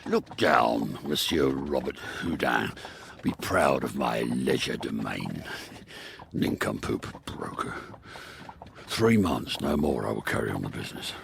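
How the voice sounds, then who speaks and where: Villainous barber, gravelly, ornate and deliberate, gloating, wide-ranging intonation, period audio drama, noisy recording. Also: male voice, very deep voice, exaggerated voice. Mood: gloating, menacing. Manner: theatrical, deliberate, ornate formal phrasing. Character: scheming murderous barber posing as genteel nobleman, gloating.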